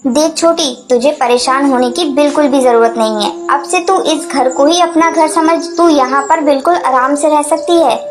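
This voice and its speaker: A woman voicing a gentle mother sparrow. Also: sits high, moderate pace, few pauses, close to an everyday voice. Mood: kind. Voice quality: earnest, emotional. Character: kind, simple mother sparrow, gentle and trusting. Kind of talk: dubbed cartoon acting. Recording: music playing behind.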